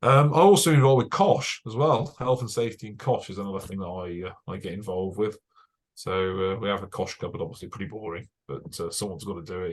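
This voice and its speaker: A young British man, a broadcast engineer, giving a chatty technical talk over slides, with many fillers and dry asides. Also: deep voice. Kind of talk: casual presentation over slides.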